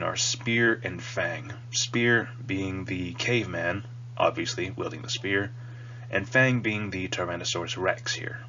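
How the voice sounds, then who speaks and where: Deep voiced guy w a stuffy cold doing a casual rambly review, kinda muffled cheap mic. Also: pushed and bright. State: sniffly, nasal from a cold. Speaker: video host reviewing a cartoon. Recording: dull lo-fi mic with audible hum.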